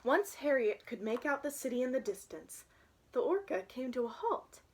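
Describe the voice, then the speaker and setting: Animated young woman reading aloud, slightly husky. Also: high voice, moderate pace. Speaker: storybook reader. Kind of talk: children's picture book read-aloud with chatty asides.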